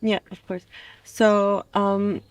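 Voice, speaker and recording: A woman's voice: medium pitch, level tone, slow pace. A woman in her twenties who grew up in Dubai, answering questions in a casual, conversational way as an interview guest. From American who grew up in Dubai. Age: 20s. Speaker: interview guest, master's student.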